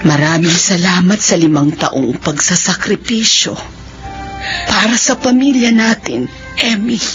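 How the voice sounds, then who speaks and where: A woman's voice: on the low side, unhurried, lightly acted. A woman acting a tender, emotional older mother and grandmother in a radio drama, speaking with pleading feeling. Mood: moved gratitude. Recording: dull lo-fi radio drama, faint music.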